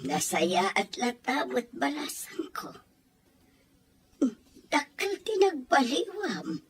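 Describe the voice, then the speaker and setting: A woman's voice: sits high, big pitch swings, slow pace, exaggerated voice. A woman acting an older mother of grown children in an audio drama, earnest and reproachful, with a Filipino accent. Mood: gentle, motherly. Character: older Ilocano mother scolding and advising her grown children.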